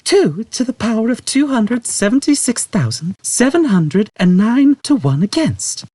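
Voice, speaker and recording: A man's voice: very high-pitched, sing-song, measured pace, dark tone, dry recording, pitched above the performer's own voice, heavily acted. A man voicing a patient, clever, exasperated young woman in an audiobook, speaking in a controlled, pointed way. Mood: matter-of-fact. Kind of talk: audiobook character voice.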